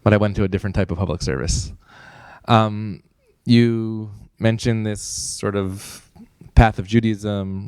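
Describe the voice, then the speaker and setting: A man, a rabbi, moderates an on-stage conversation in a chapel, asking measured, careful questions. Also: fairly low pitch, a little mellow, clear, resonant tone, no room sound.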